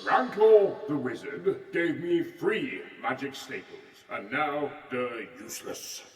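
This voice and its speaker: A man's voice: mid-range voice, lively intonation, slow pace. A vain, forgetful old king played by a man rambles pompously in a comic audio play. His voice swings widely and sounds distant in a reverberant hall. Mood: petulant, distracted. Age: older. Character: mad, vain, forgetful king with grandiose ambitions. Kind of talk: comic audio drama acting. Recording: echoey hall, far from the mic.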